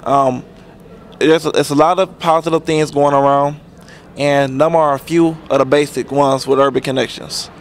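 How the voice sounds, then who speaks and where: A man in his twenties, speaking earnestly in a halting way with many pauses and restarts about mentoring work. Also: on the high side, flat delivery, slight background noise. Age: 20s. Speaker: interviewee, youth mentor. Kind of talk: unscripted talk about community programs. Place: outdoors at a transit center.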